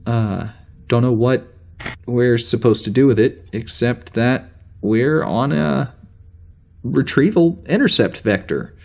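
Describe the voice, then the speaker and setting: A man's voice: mid-range voice, a little mellow. A man playing a flustered, hesitant spaceship officer. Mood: puzzled, uneasy. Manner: halting, rambling, full of hesitations and sighs. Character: flustered, amnesiac male spaceship security officer keeping audio logs. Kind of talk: audio drama, informal spoken log entry.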